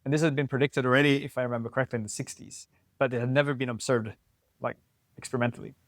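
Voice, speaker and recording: A man in his twenties from northern Sweden, a physics PhD student, calmly explaining his research in an interview. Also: medium pitch, very fast, no echo, clean studio sound. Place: lab building at a physics facility.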